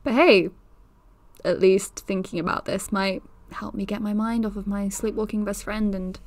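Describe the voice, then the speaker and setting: An actress plays a young woman hosting her own mystery podcast, unsettled and unsure of herself. She talks in halting bits that trail away, recorded dry and close. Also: medium pitch, steady tone, dry recording, natural voice. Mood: uneasy. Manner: nervous, hesitant, trailing off. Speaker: podcast host character. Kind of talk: audio drama acting.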